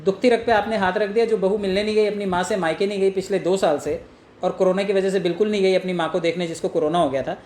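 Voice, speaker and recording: A man's voice: high voice, steady tone, fast talker, darkish tone. A man from India giving informal sales coaching in an earnest, explanatory manner, acting out example calls and asking rhetorical questions. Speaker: network marketing trainer. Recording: echoey room with faint noise.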